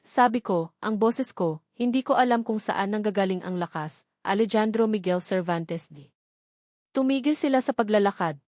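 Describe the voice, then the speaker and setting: A woman's voice: medium pitch, a little mellow. A woman narrates a scripted love story in the first person. Her delivery is that of a dramatic storyteller. The sound is very dry, with little room echo.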